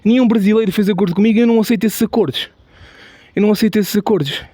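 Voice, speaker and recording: A man with a European Portuguese accent giving an unscripted opinion monologue, halting and repetitive with many restarts and emphatic stress. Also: sits high, dark tone, no room sound. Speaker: video commentator.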